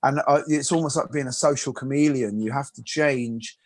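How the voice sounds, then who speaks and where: A man's voice: medium pitch, fairly even intonation, moderate pace. A man hosting an interview, chatty and self-deprecating, often repeating words as he talks. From England. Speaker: host and martial arts instructor. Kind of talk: casual interview chat.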